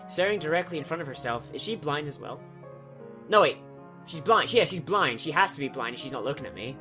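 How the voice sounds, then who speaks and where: Man, sarcastic, sweary, reading game text aloud, bright forceful tone, faint music behind. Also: fairly high pitch, expressive, a little fast. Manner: sarcastic, swears freely, breaks off to joke. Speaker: let's player. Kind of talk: reading a visual novel aloud with commentary.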